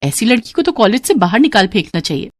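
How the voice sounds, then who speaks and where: A woman's voice: medium pitch, big pitch swings, quick pace, no echo, clean studio sound. A woman voicing a cruel college girl in a storybook tale, jeering and mocking. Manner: mocking, sneering.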